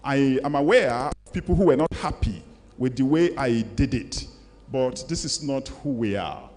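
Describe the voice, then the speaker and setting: An older man with a Nigerian accent gives a formal public lecture, speaking deliberately and emphatically as he reads and reflects. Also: medium pitch, expressive, darkish tone. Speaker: guest lecturer. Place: large lecture hall, indoors. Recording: echoey hall with long reverb.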